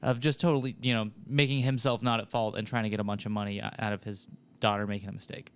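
Man co-host with a smooth voice. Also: fairly low pitch, level tone, fast. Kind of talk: casual podcast chat.

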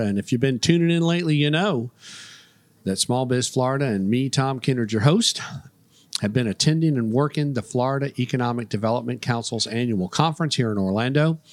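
A man's voice: mid-range voice, no room sound. Chatty male podcast host. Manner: chatty, lots of uh fillers, bursts of speed. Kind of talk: casual interview.

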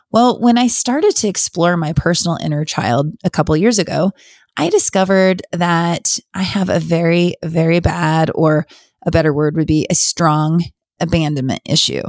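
A middle-aged woman hosts her own podcast in a candid, confessional tone. Her voice sits a little low and carries a slight hoarseness. She is recorded close and dry, with no room sound. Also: a little mellow, dry recording. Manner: open, confessional, conversational. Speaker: podcast host. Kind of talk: casual solo podcast talk.

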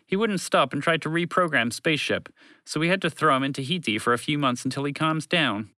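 A man's voice: fairly high pitch, fairly quick, sharp tone, no room sound, studio quality, voice pushed up. A man voicing a condescending, argumentative alien creature in a children's story, unhelpful and easily offended. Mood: matter-of-fact. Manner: condescending, argumentative, casual phrasing.

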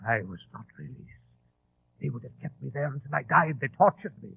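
A man's voice: medium pitch, animated, fairly quick, gravelly, a little hiss or hum. An actor in 1940s radio style playing a nervous, vain, grandiose English madman who rants and repeats himself. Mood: ranting, aggrieved. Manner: agitated, repeats himself, ranting. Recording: tinny, band-limited old radio broadcast.

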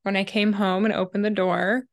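A young woman in her twenties hosting her own podcast in a casual, chatty solo talk, her voice a little raspy and winded after a run, with lots of "like" fillers. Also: mid-range voice, level tone, normal speed. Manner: chatty, filler-heavy with frequent "like". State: slightly raspy, winded from running. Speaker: podcast host.

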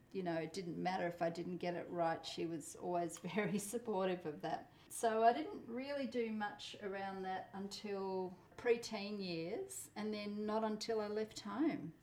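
A middle-aged woman who grew up speaking Polish, talking thoughtfully in a relaxed interview while cooking, with the odd soft laugh. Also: lowish voice, reverberant, rough recording. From Australia. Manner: thoughtful, measured, laughs softly. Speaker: interviewee, home cook. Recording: faint background noise.

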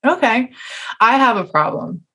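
A woman hosting a podcast, talking in a casual, informal and teasing conversational way with animated, slangy phrasing. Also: on the high side, measured pace, gravelly, slightly rough. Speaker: podcast host and tattoo artist. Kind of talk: casual interview chat.

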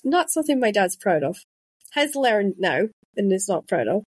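A woman's voice: medium pitch, big pitch swings, moderate pace, a little mellow, breathy edge, no room sound, clean studio sound. A woman in her thirties, a podcast host and author, chatting casually and telling stories with quoted asides. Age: 30s. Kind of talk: casual conversation.

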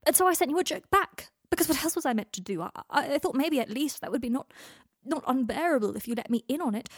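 A woman voicing a proud, cold young man who is furious and scornful, yelling with his voice near cracking. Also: on the high side, lively intonation, a little fast, dry recording, clean studio sound, voice pushed up.

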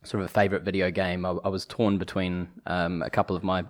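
Low-voiced New Zealand man. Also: little pitch movement, fast. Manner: hesitant, many restarts and fillers. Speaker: podcast guest, chief commercial officer. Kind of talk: casual podcast conversation.

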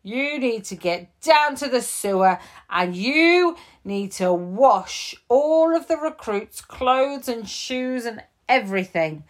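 A woman voicing a bossy male police chief in a children's story, loud, stern and scolding. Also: high voice, voice pushed up, full character voice.

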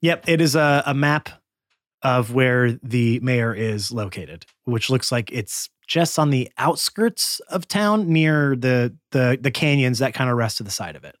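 A man's voice: medium pitch, animated, unhurried, no echo, studio quality. A man narrating casually as game master and host of a tabletop game podcast.

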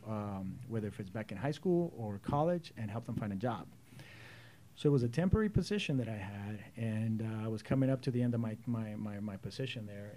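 A man in his forties, originally from El Salvador, speaks as an interview guest. His voice sits low and sounds warm and relaxed. He tells his own story in an earnest, personal way, with a faint hiss behind him. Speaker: guest, youth club executive director. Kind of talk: casual interview talk.

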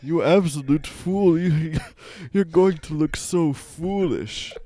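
Slow deadpan man, low and mellow. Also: no echo. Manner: deadpan, mock-serious. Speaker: comedy musician guest. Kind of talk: absurd comedic banter.

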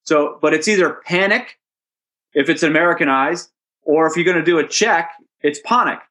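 A hockey play-by-play announcer answering interview questions in a relaxed, anecdotal way, chatty and amused. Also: male voice, on the high side, normal speed. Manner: chatty storyteller, amused, with restarts and asides.

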